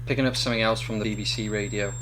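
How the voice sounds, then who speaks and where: A man's voice: fairly low pitch, fairly even intonation, racing, fairly bright tone, lightly acted. A man playing an uneasy, sceptical 1930s television sound engineer in an audio drama, questioning and technical-minded.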